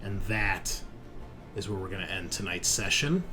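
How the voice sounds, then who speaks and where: A man hosting and narrating a tabletop horror roleplaying session as game master, in a halting, conversational way. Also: low voice, animated. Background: background music.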